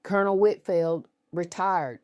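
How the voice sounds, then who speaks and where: A woman narrator voicing an older, retired male army colonel, measured, deliberate and solemn, in a story. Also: lowish voice, a little mellow, no echo, studio quality, natural voice. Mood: formal, measured. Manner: slow, deliberate.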